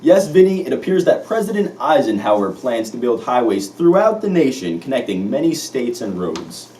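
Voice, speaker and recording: A man's voice: mid-range voice, very animated, normal speed, darkish tone, natural voice. A man playing an earnest 1950s news anchor in an amateur scripted mock newscast. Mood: upbeat. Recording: distant mic, faint noise.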